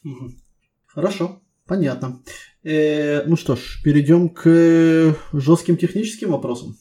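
A man conducting a conversational technical interview, setting out his questions deliberately, step by step. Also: fairly high pitch, dark tone.

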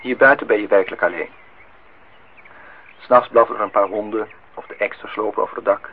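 An actor playing a polite, lonely host in a radio play, formal and slightly insistent. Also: male voice, on the low side, a little fast. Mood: polite, probing. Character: polite, lonely man hosting a young woman at his lakeside house. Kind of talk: Dutch radio play acting. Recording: noisy, tinny old radio recording.

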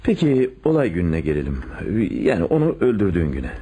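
A low, husky male detective in a radio drama, earnest and lovestruck. He speaks with dramatic emphasis and animated, swinging pitch, halting as he questions. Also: exaggerated voice. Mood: probing. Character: lovestruck policeman, earnest, torn, devoted to murderess. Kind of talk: radio theater acting in Turkish drama.

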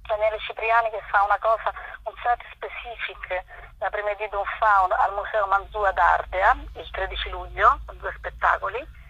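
A woman's voice: mid-range voice, fairly even intonation, normal speed, sharp tone, breathy edge, dry recording. A woman speaking as a guest organizer in a radio phone interview, over a muffled line.

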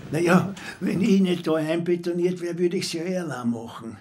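An actor playing a mocking, bossy care-home resident with an Austrian accent who fancies himself a detective in a radio drama. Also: male voice, high-pitched, lively intonation, moderate pace, darkish tone, slight background noise. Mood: conspiratorial. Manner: mocking, condescending. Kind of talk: naturalistic radio drama acting.

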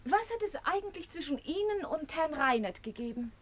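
A spirited young lady for radio drama. Her voice sits a little high, and she talks conversationally, teasing and reproaching with frankness. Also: animated, a little fast. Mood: teasing, reproachful. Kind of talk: German radio drama acting.

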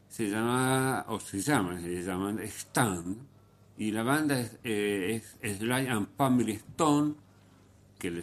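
Slow, low-voiced man telling music stories. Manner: unhurried storyteller, pauses and restarts, swings in loudness. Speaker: radio host. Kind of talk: radio music commentary.

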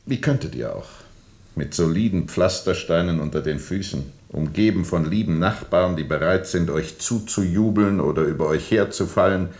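Very deep-voiced, haunted river captain. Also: male voice. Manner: brooding, insistent, dramatic repetition. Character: haunted male river steamer captain recounting a dark journey. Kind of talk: radio play monologue.

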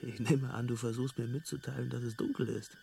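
Breezy, gravelly alien hitchhiker man. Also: medium pitch, flat delivery, fairly quick, dark tone, a little hiss or hum. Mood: amused. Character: laid-back male alien hitchhiker, breezy and knowing. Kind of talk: German radio drama acting.

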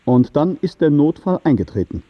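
A man's voice: on the high side, very animated, normal speed, no room sound, lo-fi sound. An actor playing a firm, probing male judge in a German radio play, questioning formally and insistently.

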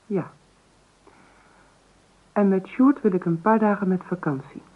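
Tinny radio-play actress as a young daughter in love. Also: female voice, medium pitch, unhurried, lightly acted. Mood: defiant, earnest. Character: young woman in love, defiant emotional daughter. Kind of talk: Dutch radio play acting. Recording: tinny, noisy old radio recording.

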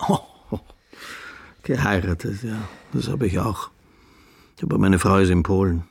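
An actor playing a ruined, bitter Austrian aristocrat in a radio play, with a gravelly, husky voice and a sardonic, declamatory manner. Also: male voice, low voice, unhurried, heavily acted. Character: ruined, bitter, sardonic aristocratic count, theatrically self-mocking. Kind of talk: literary radio play monologue.

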